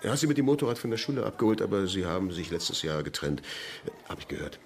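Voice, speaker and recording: An actor playing an obliging, gossipy school headmaster in a radio crime play, hesitant and ingratiating. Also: male voice, deep voice, sing-song, fast talker, vocal fry, full character voice. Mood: helpful, gossipy. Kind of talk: naturalistic German radio play dialogue.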